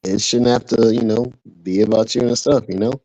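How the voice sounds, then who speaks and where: A man's voice: medium pitch, steady tone, fairly quick, warm tone, dry recording, poor audio. A man co-commentating in casual chat, laid-back and colloquial, often adding tag phrases.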